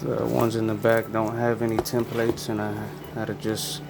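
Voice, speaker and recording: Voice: medium pitch, monotone, normal speed, vocal fry, very noisy. A person narrating an unboxing in a casual, laid-back, informal way. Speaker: unboxing video host. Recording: loud music behind the voice.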